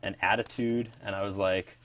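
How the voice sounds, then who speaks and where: A man with a low voice hosts a music interview in a casual, conversational style. He talks like an enthusiastic fan, relaxed in his wording and informal. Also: moderate pace. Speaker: music show host.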